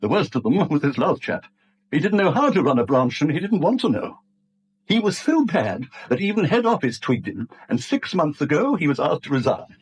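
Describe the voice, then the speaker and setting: Prickly, husky British bank manager, middle-aged. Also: male voice, a little high, big pitch swings, moderate pace, exaggerated voice. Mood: bitter, aggrieved. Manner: brusque, indignant, clipped. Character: bitter, prickly long-serving male acting bank manager, older. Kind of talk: 1970s radio drama acting. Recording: dull vintage radio recording.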